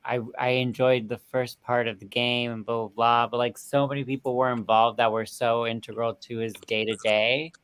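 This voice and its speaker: A man chatting casually about film as a panelist, halting as he thinks aloud. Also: mid-range voice, level tone, a bit bright, smooth. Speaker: panelist on a film review show.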